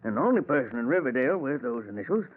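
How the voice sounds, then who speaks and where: An easygoing working man making a delivery in a 1940s radio play. He is folksy and chatty with small hesitations, in a middle pitch, on a narrow tinny recording. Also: sing-song, very fast. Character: affable, plain-spoken working-class male delivery man. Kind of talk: 1940s radio drama acting.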